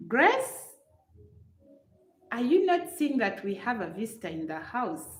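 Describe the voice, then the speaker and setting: A woman's voice: on the high side, sing-song, unhurried, echoey room, soft background music. A woman with a Ugandan accent voicing a stern father who calls his daughter back to greet a visitor. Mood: scolding.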